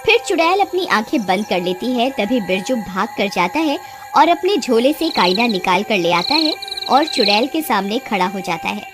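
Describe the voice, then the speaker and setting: High-voiced woman narrating a cartoon. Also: big pitch swings, moderate pace. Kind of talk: storybook narration. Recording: music behind the voice.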